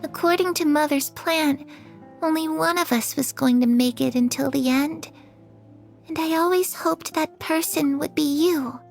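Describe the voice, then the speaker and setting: A woman voicing a gentle, trusting young girl in a video game, earnest and softly wistful. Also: high-pitched, gravelly, soft background music. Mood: reflective. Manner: soft, unhurried, earnest. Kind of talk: dramatic video game acting.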